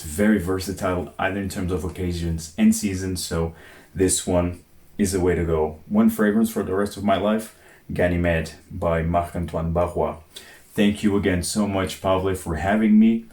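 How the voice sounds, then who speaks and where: Low-voiced man, fragrance reviewer, roomy sound. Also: fairly even intonation, normal speed, a little mellow. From Portugal. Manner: enthusiastic, lists descriptors in short bursts. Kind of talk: guest segment in a video collaboration.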